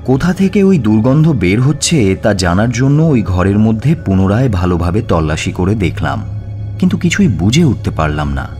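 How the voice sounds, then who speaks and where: Low-voiced, measured police inspector. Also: male voice, big pitch swings, darkish tone. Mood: measured recounting. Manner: measured, deliberate storytelling. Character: measured, authoritative police inspector recounting a case. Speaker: narrator and lead actor. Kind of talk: dramatised audio story narration. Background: music.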